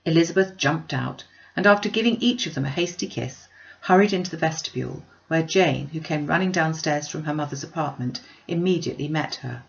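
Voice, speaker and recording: Low-pitched woman reading a classic novel. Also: brisk, creaky, highs cut off. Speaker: audiobook reader. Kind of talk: scripted narration of a classic novel.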